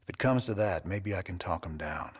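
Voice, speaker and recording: Game rebel leader: low, roughened Southern drawl, weary but big-hearted, folksy. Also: male voice, expressive, brisk. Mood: somber. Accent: American Southern. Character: weary, big-hearted male rebel commander, middle-aged space cowboy. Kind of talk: video game cutscene acting. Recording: long reverb, music underneath.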